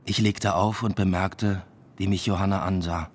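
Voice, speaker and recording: A man's voice: low-pitched, steady tone, moderate pace, breathy edge. An actor playing a weary academic and family man, narrating a radio drama in an introspective, understated way. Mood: brooding. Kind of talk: scripted first-person radio drama narration with dialogue. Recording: music behind the voice. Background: music.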